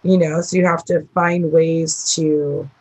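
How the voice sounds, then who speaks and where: A woman from the Midwest with a rough voice, chatting casually as a guest about teaching horse riding, rambling and laughing often. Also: low voice, little pitch movement, a little slow, darkish tone, low-quality recording. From American Midwest. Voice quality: rough, husky voice. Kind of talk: casual interview talk.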